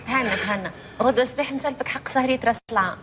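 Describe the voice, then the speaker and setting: A woman's voice: a little high, rapid-fire, very noisy, natural voice. A woman voicing an efficient, friendly secretary in a Tunisian cartoon comedy. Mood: helpful. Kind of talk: animated sitcom dialogue.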